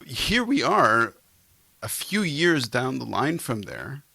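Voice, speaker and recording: A man's voice: mid-range voice, sing-song, takes it slowly, vocal fry, pushed and bright, husky, dry recording. A middle-aged man hosting a podcast, interviewing in a casual, informal and playful conversational way.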